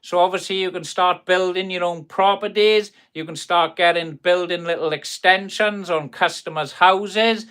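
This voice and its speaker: South Welsh man, friendly live-stream carpenter, rambling chat, clear crisp voice. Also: high voice, fairly even intonation, moderate pace, few pauses, fairly bright tone. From South Wales. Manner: friendly, rambling, calls viewers "boys" and "buddy". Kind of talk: chatty live stream, answering viewers' chat. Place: workshop.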